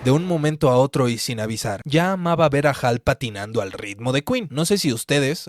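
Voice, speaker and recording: A man's voice: medium pitch, no echo. Mexican man in his twenties, dry close recording. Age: 20s. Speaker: video essay host. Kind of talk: scripted video essay narration.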